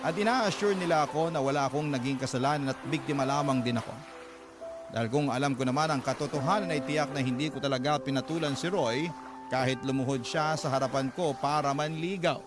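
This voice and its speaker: A man's voice: medium pitch, a little fast. A man with a Filipino accent narrating a scripted first-person letter for a radio drama, earnest and sentimental. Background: music bed.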